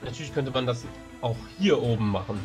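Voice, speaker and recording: Halting male player proposing tactics. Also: mid-range voice, a little mellow, soft background music. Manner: searching for words, halting. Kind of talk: casual strategy discussion.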